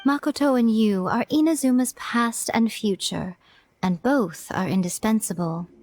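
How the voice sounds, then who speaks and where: A woman's voice: fairly high pitch, a little slow, a little mellow, slight background noise. A woman voicing a playful, wise female priestess in a game, with an elegant, teasing and amused manner. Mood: warm. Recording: game audio with faint music behind.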